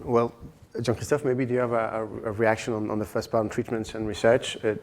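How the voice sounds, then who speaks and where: A man moderating a round table discussion, putting questions haltingly and repeating words. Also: lowish voice, flat delivery.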